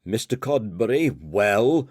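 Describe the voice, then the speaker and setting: A man's voice: sing-song, slow pace, darkish tone, slightly rough, no room sound, studio quality, exaggerated voice. A man voicing a stern old company boss in an audiobook, with terse, grumbling, grunted speech. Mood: stern, dry. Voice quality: low, grumbling. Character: gruff, curt old male company boss.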